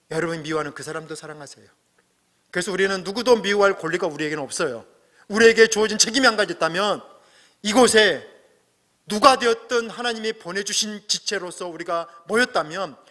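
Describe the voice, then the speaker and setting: A middle-aged man preaching a sermon as pastor, earnest, swelling to emphatic peaks and dropping to soft asides. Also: high-pitched. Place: church sanctuary. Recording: long hall reverb.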